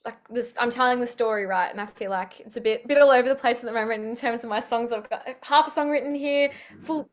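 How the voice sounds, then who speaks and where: A woman's voice: on the high side, fast talker. A young woman chatting in an interview over a video call, upbeat and full of fillers. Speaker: singer-songwriter guest. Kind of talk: casual interview chat.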